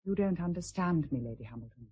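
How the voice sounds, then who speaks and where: A woman's voice: on the low side, lively intonation, fast, warm tone, breathy edge. A woman playing a dignified, dutiful titled wife in a radio drama, formal and restrained. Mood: quietly reserved.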